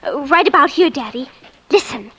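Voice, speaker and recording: A woman's voice: sits high, lively intonation, normal speed, fairly bright tone. An actress playing an earnest, insistent young girl in an old radio drama, pleading with childlike urgency. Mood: urgent. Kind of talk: 1940s-50s radio drama acting. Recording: vintage radio recording with music behind.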